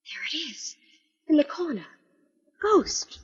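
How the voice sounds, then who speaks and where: An actress playing a curious, quick-witted young woman who assists a sleuth, in 1940s radio style. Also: high-pitched, big pitch swings, exaggerated voice. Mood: alarmed. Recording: dull 1940s radio recording.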